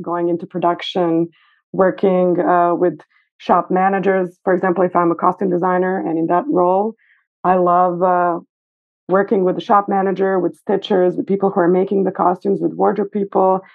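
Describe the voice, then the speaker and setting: A woman's voice: lowish voice, flat delivery, normal speed, creaky. Woman over a tinny phone-like line. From Serbia. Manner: thoughtful, hesitant with fillers. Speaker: guest costume designer. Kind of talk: casual interview. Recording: phone-like remote call.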